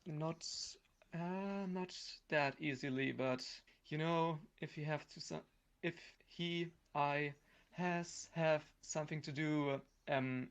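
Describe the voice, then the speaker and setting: A man in his thirties speaking as a non-native speaker, halting and searching for words in a casual interview. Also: fairly high pitch, clear, resonant tone, muffled recording. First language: not English. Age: 30s. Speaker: voice actor guest.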